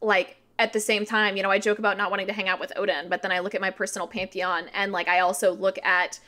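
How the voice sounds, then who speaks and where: A woman's voice: medium pitch, flat delivery, quick pace, sharp tone. A woman of thirty talking as a podcast guest in a casual, informal interview style, explaining things with frequent filler "like".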